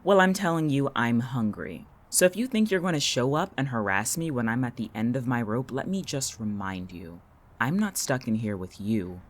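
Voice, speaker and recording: Woman playing very low-voiced, bitter young man. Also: animated, moderate pace. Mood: defiant. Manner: sardonic, curt, exasperated. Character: weary, bitter, defiant young man called Vincent. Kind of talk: audio drama acting.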